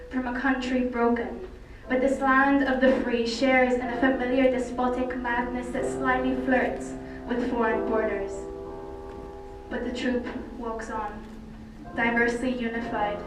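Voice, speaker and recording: A woman from Cyprus performing slam poetry as a poet, with deliberate, impassioned phrasing and dramatic pauses. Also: a little high. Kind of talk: slam poetry recital, dramatic spoken word. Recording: very echoey, distant mic, loud music. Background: loud music.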